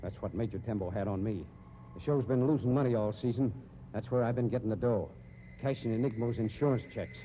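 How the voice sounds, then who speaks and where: A man's voice: mid-range voice, a little fast, lightly acted. An actor playing a shady, hot-tempered carnival showman with an American accent and slangy, blustering talk in a 1950s radio drama. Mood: defensive. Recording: dull, noisy 1950s radio recording.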